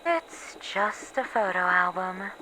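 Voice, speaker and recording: A woman playing a bitter, weary researcher losing her memories, in a halting, sardonic, sighing monologue for an ASMR horror roleplay. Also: mid-range voice, lively intonation, bright, forceful tone, slight background noise, low-quality recording. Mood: melancholy, musing. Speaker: voice actor in an audio roleplay.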